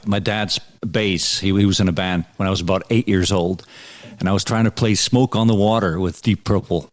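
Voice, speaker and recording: Low-voiced man from Iceland, halting. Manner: relaxed, halting, repeats words often. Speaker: country singer guest. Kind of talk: interview answers.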